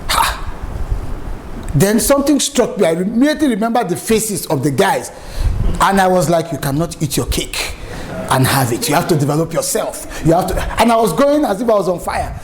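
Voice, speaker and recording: A Nigerian man in middle age gives a public speaking lesson, telling stories with emphatic energy. His voice sits fairly high and swings widely in pitch. A faint hum of room ambience sits beneath him. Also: moderate pace. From Nigeria, raised in Lagos. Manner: animated, emphatic storyteller. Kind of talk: lively spoken lecture with anecdotes.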